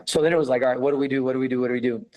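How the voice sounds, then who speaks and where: A man's voice: medium pitch, racing, muffled recording. A young American man, a former footballer, telling his story in a casual interview with many fillers. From United States. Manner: animated, many fillers, laughs easily.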